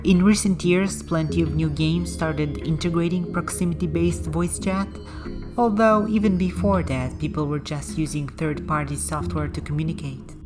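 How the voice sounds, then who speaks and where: Low-voiced woman narrating over music. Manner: calm, explanatory, measured. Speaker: language-learning channel host. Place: voice-over, not in the game. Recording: music bed behind the voice.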